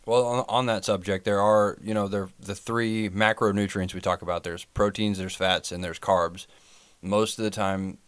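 A man's voice: fairly low pitch, steady tone, moderate pace, clear, resonant tone, no room sound. A man co-hosting a podcast, a health professional explaining things in a plain, matter-of-fact way. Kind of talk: casual chat.

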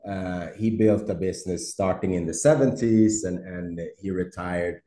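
A man's voice: deep voice, moderate pace, mellow, echoey room. A Swedish man, a startup CEO, answering interview questions with many fillers, restarts and easy laughs. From Sweden. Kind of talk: podcast interview conversation.

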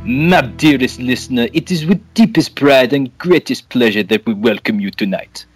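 Man playing a pompous gentleman master of ceremonies. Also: medium pitch, moderate pace, soft background music, close to an everyday voice. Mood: grand, ceremonious. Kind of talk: mock-formal theatrical introduction.

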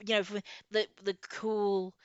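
Female co-host, effusive informal book chat, mid-pitched, dry but slightly muffled recording. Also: level tone, measured pace, clear, resonant tone, no echo. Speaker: podcast co-host.

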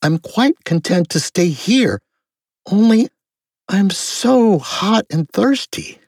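A woman's voice: mid-range voice, expressive, slow pace, darkish tone, husky, no room sound, clean studio sound, exaggerated voice. A woman voicing a polite, puzzled little girl in a storybook, earnest and hesitant. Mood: polite, reluctant.

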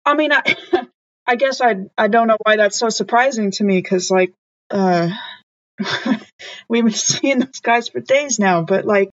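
A woman's voice: fairly high pitch, measured pace, no room sound, dull audio. A woman playing a tabletop roleplaying game, talking casually with her fellow players.

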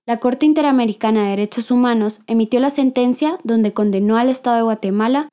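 Woman narrator, warm mellow tone, solemn scripted documentary read. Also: fairly high pitch, brisk.

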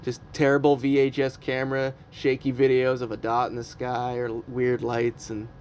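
A man's voice: mid-range voice, completely flat delivery, moderate pace, darkish tone, a little hiss or hum. A man in his early thirties, a comedian, chatting casually and rambling with self-mocking humor and frequent laughs. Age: 30s. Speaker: comedian podcast host. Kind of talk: casual chat.

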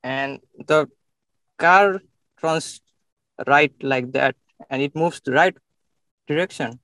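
A young man from Nepal, speaking in a casual interview, often pausing and searching for words with many fillers. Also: a little high, a bit bright, no echo.

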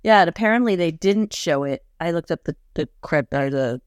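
A woman's voice: on the low side, lively intonation, brisk, no echo, studio quality. A woman co-hosting a podcast, chatting casually and animatedly as she recaps a TV episode, laughing often. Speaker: podcast co-host.

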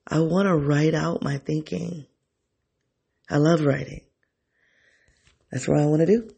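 A man's voice: fairly high pitch, fairly even intonation, a little slow, vocal fry, mellow, husky. A man thinking aloud in a reflective solo monologue, often rephrasing himself and talking straight to his listeners. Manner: talks directly to listeners, informal.

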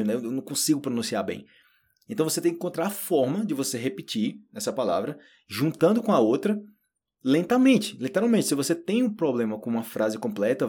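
A man from Brazil with a Brazilian Portuguese accent, giving a casual spoken lesson and slowly repeating phrases to demonstrate. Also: medium pitch, a little mellow, husky. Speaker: Portuguese teacher.

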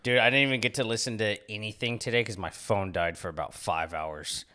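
A young man chatting easygoing on a podcast, his low voice bright and forward. He jokes around, says "dude" often, and his throat sounds a little raspy. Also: fairly quick. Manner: laid-back, laughs often, says "dude". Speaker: podcast co-host. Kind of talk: casual chat.

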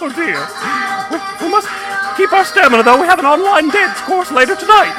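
A man voicing a prim, fussy elderly woman in a high quavering falsetto, calling everyone "dear.". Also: lively intonation, brisk, over loud music. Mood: breathless. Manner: genteel, calls others "dear".

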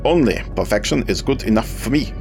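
A man's voice: mid-range voice, lively intonation, fairly quick, vocal fry, music in the background, voice pushed up. A man voicing a commanding German car dealer of about forty, with a German accent and a curt, domineering drill-sergeant manner.

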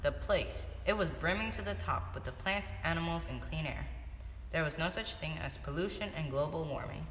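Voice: medium pitch, lively intonation, normal speed, a bit bright. A young teenager reading a scripted story aloud as a student narrator. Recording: echoey hall, noisy background.

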